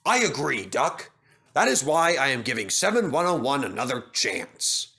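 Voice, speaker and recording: A man voicing a genial, authoritative gentleman railway director in a children's storybook, measured and stately. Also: mid-range voice, very animated, soft background music. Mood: grave.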